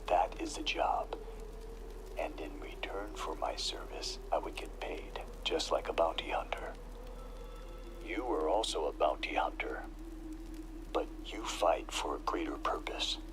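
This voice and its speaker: Whispering, deep-voiced solemn soldier. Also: male voice. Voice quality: low, hushed, breathy. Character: quiet, solemn lone soldier, covert operative. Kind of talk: video game dramatic dialogue.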